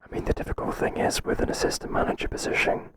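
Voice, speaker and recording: A man's voice: heavy background noise, close to an everyday voice. Man acting a whispering, hesitant, kindly boss. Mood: hesitant, accommodating. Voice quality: breathy whisper. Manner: hesitant, soft-spoken, halting. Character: mild, hesitant, kindly male workplace boss, flustered by flattery. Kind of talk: whispered ASMR roleplay.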